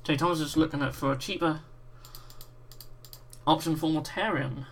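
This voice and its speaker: A British man with a croaky voice hosting a livestream, chatting casually and reading out viewer messages while painting miniatures. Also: a little high, moderate pace, a little hiss or hum. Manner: relaxed, reads out chat messages. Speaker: livestream host.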